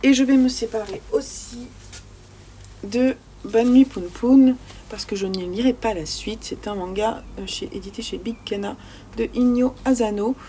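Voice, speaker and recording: A woman's voice: on the high side, normal speed, mellow, slight background noise. A woman vlogging casually about the books she has read, talking freely and often searching for her words with fillers and restarts. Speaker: book vlogger. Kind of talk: casual book review chat.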